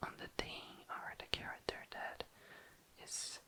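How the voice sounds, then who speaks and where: An ASMR host speaking in a breathy, unvoiced whisper, softly commenting on a craft and soothingly repeating words. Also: a little slow. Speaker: ASMR video creator, host. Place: indoors at a desk.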